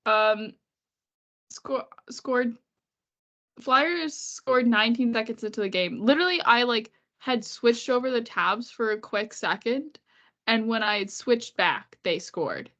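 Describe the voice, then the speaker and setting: A woman's voice: on the high side, a little slow, fairly bright tone. Congested chatty woman on a lo-fi podcast. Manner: chatty, laughs often, sarcastic asides. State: congested and sniffly with a cold. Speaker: podcast co-host. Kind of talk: casual chat about hockey.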